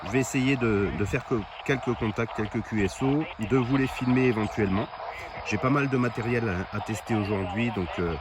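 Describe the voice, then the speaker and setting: A man presenting gear in a casual, hands-on way as a vlogger, halting as he thinks aloud, recorded outdoors. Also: medium pitch, crowd noise. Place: outdoors on high ground. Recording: noisy outdoor camera recording.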